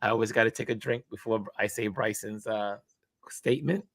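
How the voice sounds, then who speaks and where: A man with a raspy voice hosting a casual live stream, reading viewer comments aloud and greeting people with upbeat shout-outs. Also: on the low side, steady tone, brisk, dry recording. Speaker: host.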